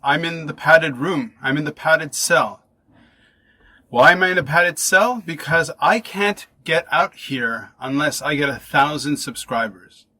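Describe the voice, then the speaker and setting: A man hosting a podcast, chatting casually and playfully with quick interjections. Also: on the high side, lively intonation, sharp tone. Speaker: podcast host.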